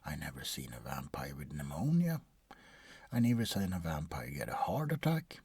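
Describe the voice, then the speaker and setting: A man playing a bored, world-weary, centuries-old vampire doctor in an ASMR roleplay, husky and breathy, often slipping into a whisper, with a deadpan, hesitant delivery. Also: a bass voice, normal speed, dry recording, heavily acted. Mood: bored, dry.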